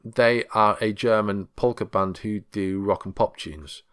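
A man's voice: on the low side, steady tone, measured pace, a bit bright. A man presenting a podcast in a casual, chatty manner as its host.

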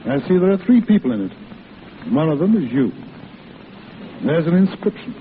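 An actor playing a kindly middle-aged hospital doctor, avuncular, deliberate and probing, in a 1940s radio drama. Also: male voice, on the high side, big pitch swings. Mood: earnest, probing. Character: kindly, fatherly head doctor of a rehabilitation hospital. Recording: tinny, hissy old radio broadcast.

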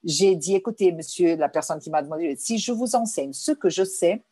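A woman's voice: fairly low pitch, expressive, normal speed, warm tone, slightly rough, no room sound. Woman. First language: Portuguese. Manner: searching for words, many restarts. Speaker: guest. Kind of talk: interview answers.

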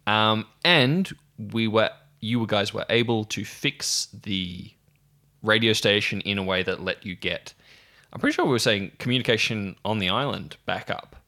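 Male game master, lowish pitch, bright forceful tone, casual storytelling. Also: normal speed. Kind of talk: casual tabletop game narration with banter.

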